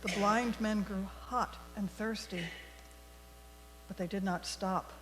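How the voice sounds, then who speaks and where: A woman reading a story aloud to a congregation in a deliberate, expressive storybook manner. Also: mid-range voice. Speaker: worship leader telling a story for all ages. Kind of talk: read-aloud storytelling in a church service. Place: large indoor congregation space. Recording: echoey hall with mains hum.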